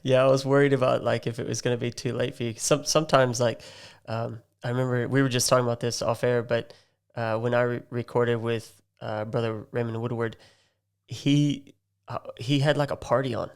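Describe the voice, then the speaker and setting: Aussie podcast host guy, gravelly voice, easygoing chat w lots of ums. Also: medium pitch, level tone, fairly quick. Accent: Australian. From Australia. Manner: friendly, laughs easily, many fillers. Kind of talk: casual interview chat.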